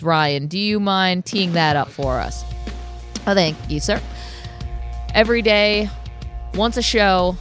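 A woman co-hosting a sports talk show, chatting casually with quick asides and frequent laughs. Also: medium pitch. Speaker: sports radio co-host.

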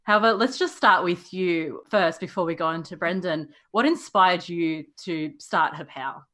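A woman's voice: fairly low pitch, normal speed, sharp tone. A woman hosting a podcast, asking questions in an encouraging, affirming way. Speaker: podcast host. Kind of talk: podcast interviewing.

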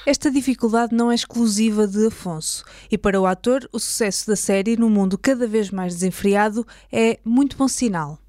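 A woman's voice: medium pitch, a little fast, few pauses, mellow, no room sound, clean studio sound. A woman with a European Portuguese accent reading scripted podcast narration as host.

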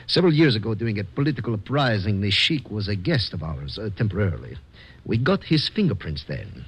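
A man's voice: on the low side, very animated, a little fast, a bit bright, slightly rough, heavily acted. A man playing a cautious, formal foreign police lieutenant in an old-time radio drama, speaking with careful hesitation. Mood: firm, official. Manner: formal, hesitant, uncontracted phrasing. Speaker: supporting actor in a radio drama. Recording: faintly noisy vintage radio recording.

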